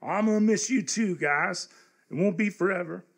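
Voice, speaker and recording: An actor playing an earnest, good-natured young army recruit in comic live radio theatre, folksy and aw-shucks. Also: male voice, high-pitched, normal speed, gravelly, husky, heavily acted. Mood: fond.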